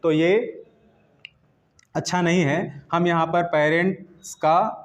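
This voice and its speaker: A man with a fairly high voice and an Indian accent speaks as a grammar teacher. He explains step by step, repeats key phrases and poses short questions before answering them. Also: fairly even intonation, takes it slowly. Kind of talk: classroom lesson, explaining step by step in Hindi mixed with English terms.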